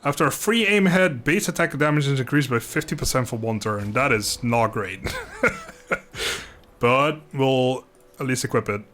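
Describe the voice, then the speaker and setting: A man's voice: medium pitch, normal speed, a bit bright, slight background noise. A man giving casual, rambling, self-deprecating commentary as he livestreams a video game. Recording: music playing behind the voice.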